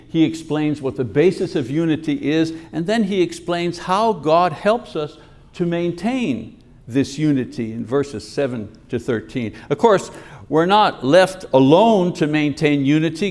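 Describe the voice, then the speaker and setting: A man's voice: a little high, darkish tone. An older man delivering a sermon at a church lectern, explaining and reading scripture in an earnest teaching manner over a podium microphone. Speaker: preacher.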